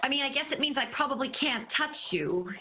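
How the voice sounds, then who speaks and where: Woman playing a bright, high-voiced awkward newlywed. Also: expressive, brisk, slight background noise. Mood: awkward, apologetic. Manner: awkward, apologetic. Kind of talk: improvised radio comedy acting.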